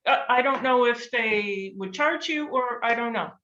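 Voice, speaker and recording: Slow-talking woman in an echoey room. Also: fairly high pitch, pushed and bright. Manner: unsure, trailing off. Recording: echoey, muffled call audio.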